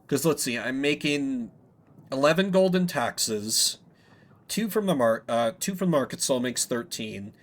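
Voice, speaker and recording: A man doing casual game commentary, jokey and thinking aloud as he plays. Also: a little high. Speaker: game streamer. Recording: game music behind the voice.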